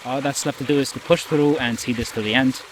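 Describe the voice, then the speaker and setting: A man talks to his camera while hiking alone through a wet forest. His voice sits at a middle pitch and sounds a little nasally and worn out. He rambles and pokes fun at himself, with a faint outdoor hiss and running water behind him. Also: steady tone, quick pace. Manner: tired, rambling, self-mocking asides. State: nasally, a bit out of breath from hiking. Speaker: solo hiker vlogging. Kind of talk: casual self-recorded trail diary. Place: outdoors on a forest trail in rain. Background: stream and forest ambience.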